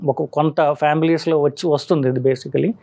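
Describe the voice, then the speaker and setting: A man speaking as a doctor, explaining haltingly and mixing in English medical terms. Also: on the high side, steady tone, creaky, warm tone. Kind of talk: explaining a medical condition informally. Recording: slightly dull, lo-fi sound.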